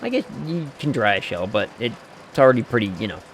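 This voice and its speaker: A man's voice: medium pitch, big pitch swings, fast talker, slight background noise, rough recording. A man streaming a video game, rambling and joking in asides to his viewers. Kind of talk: casual let's-play commentary.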